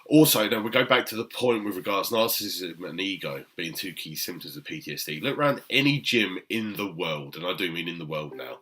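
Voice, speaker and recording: A British man, a personal trainer with a very deep voice, talking emphatically to camera with frequent "okay?" asides and fillers. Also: animated, brisk, hardly any pauses, fairly bright tone. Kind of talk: unscripted talk to camera.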